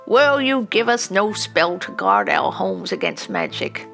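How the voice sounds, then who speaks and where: A woman's voice: mid-range voice, wildly swooping pitch, a little slow, creaky, a bit bright, faint music behind, exaggerated voice. A woman voicing an anxious aging village man who pleads in a formal, suave storybook manner. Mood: beseeching.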